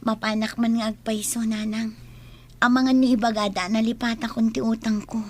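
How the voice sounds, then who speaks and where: Actress as a high-voiced, dutiful worried daughter. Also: female voice, flat delivery, normal speed, close to an everyday voice. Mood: troubled. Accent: Filipino. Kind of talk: Ilocano audio drama acting.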